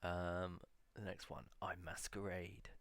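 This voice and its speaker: A man in a casual solo video, musing aloud as he reads out old titles slowly, with many pauses and fillers. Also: low voice, flat delivery, fairly bright tone, dry recording. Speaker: video blogger.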